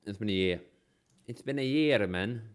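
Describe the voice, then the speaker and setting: A man's voice: on the low side, moderate pace. A man with a cold streaming a game, coughing and sniffling, talking half to himself and reading the game text aloud. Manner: mumbly, half to himself, sing-song repeats.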